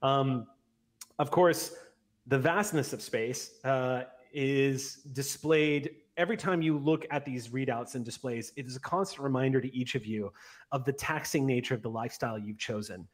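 A man in his forties running a tabletop roleplay session as game master, narrating descriptively with frequent pauses. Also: medium pitch. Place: indoor room at home, on a video call. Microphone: large microphone up close on a boom.

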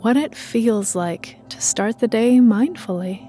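Slow, mellow female meditation guide. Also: a little high, a little hiss or hum. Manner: calm, soothing, measured.

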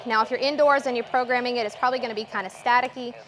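A young woman giving an orderly, step-by-step instructional demonstration in a clear explaining manner. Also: on the high side, steady tone, rapid-fire, a bit bright, poor audio. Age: young adult. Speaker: guest demonstrator. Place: TV station weather office, indoors. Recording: noticeable background noise.

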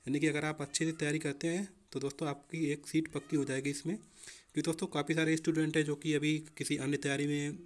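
A man's voice: on the high side, flat delivery, fast talker. A young man explaining exam preparation informally as a coaching teacher, often addressing listeners as friends.

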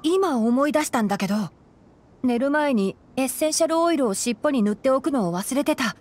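A woman playing a calm, principled young male fox-eared forest ranger and scholar in a Japanese video game, speaking in a measured, articulate way. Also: high voice. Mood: casual.

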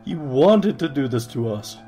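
A man's voice: medium pitch, sing-song, moderate pace, dark tone, soft background music, natural voice. A man playing a bitter, protective young skeleton brother in a comic dub, talking urgently and informally. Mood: bitter, frightened. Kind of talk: comic dub dramatic acting.

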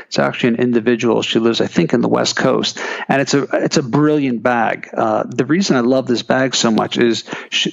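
A man's voice: mid-range voice, vocal fry, highs cut off. A man talking casually as an interview guest, chatty with frequent restarts and fillers. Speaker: guest, keynote speaker.

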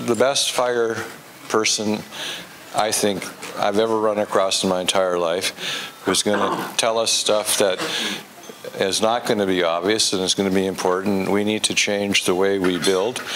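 An older man hosting a conference, introducing the next speaker in a halting, self-deprecating way with many pauses. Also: on the low side, fairly bright tone. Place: hotel conference room. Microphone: handheld mic. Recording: noisy room.

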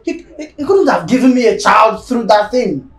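Film drama, self-assured Nigerian husband. Animated, reassuring delivery, echoey room with faint music. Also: male voice, high voice, slow pace, recorded from a distance, full character voice. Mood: animated relief. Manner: soothing, insistent.

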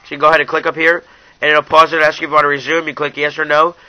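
A man's voice: fairly high pitch, level tone, racing, sharp tone, no echo, muffled recording. A young man narrating a casual, hands-on app review in an informal way, talking straight to his viewers. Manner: informal, addresses viewers as you guys.

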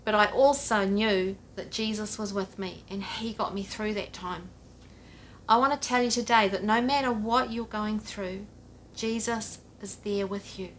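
A middle-aged woman preaches straight to the camera from a sofa in her living room, earnest and direct, her voice sitting at an ordinary middle pitch. A faint low rumble runs under the recording. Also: steady tone, unhurried, fairly bright tone. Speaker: pastor. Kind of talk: home church sermon to camera. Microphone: camera at a few feet, head and shoulders framing.

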